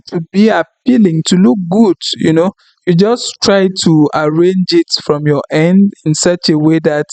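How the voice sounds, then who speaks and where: A man whose first language is French, talking through a screen-recorded software tutorial in an unhurried, apologetic way, thinking aloud with fillers. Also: fairly high pitch, no echo, poor audio. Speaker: tutorial instructor.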